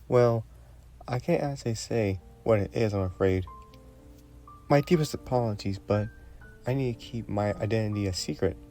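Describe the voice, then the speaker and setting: Guy acting as a soft mellow young prince, shy n polite, fantasy romance roleplay, music behind him. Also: lowish voice, natural voice. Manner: formal, polite, apologetic, hesitant. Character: young prince, courteous, gentle, shyly curious royal.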